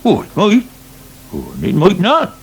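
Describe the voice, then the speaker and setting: An actor playing an older, proud, grumbling farm worker with a rustic English West Country accent in a radio soap. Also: male voice, high-pitched, wildly swooping pitch, unhurried, slightly rough, exaggerated voice. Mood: wry.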